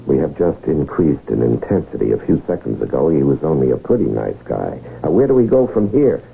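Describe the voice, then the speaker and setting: An actor in a 1970s radio drama playing a smooth, wry con artist who talks in a coaxing, deliberate way. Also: male voice, a bass voice, big pitch swings, full character voice. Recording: muffled, hissy 1970s radio broadcast recording.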